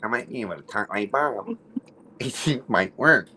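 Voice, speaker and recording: A man with a low, groaning voice and a Spanish-influenced accent, chatting casually and swearing a lot. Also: expressive, normal speed, sharp tone, slight background noise. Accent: Spanish-influenced English. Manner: laid-back, swears a lot. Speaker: stream guest. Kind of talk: casual crude banter.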